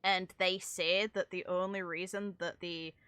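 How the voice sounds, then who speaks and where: A woman's voice: fairly low pitch, flat delivery, unhurried, sharp tone, smooth, dry recording. A woman giving casual, chatty reaction commentary on video game dialogue, with informal slang and mild swearing. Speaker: streamer reacting to game voice lines.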